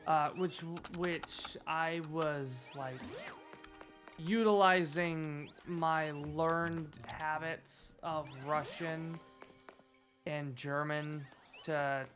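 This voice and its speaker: An American man hosting a game stream, chatting casually and enunciating deliberately, with a habit of saying "like" often. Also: a little high, fairly even intonation, music in the background. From United States. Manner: deliberate enunciation, frequent "like" fillers. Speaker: streamer host. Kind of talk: casual chat while gaming.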